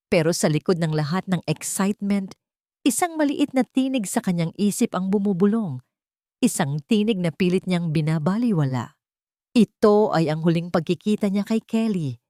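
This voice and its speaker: A woman's voice: fairly low pitch, dark tone, no echo, clean studio sound. A woman narrating a scripted romantic story in an emotive, dramatic way. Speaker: narrator of romantic audio stories.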